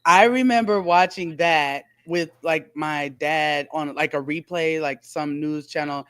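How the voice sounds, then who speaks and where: A woman's voice: low voice, measured pace, a bit bright, clean tone, dry recording, studio quality. A woman in her forties co-hosting a casual podcast chat, who laughs often and reads out viewer comments. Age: 40s. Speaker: podcast co-host.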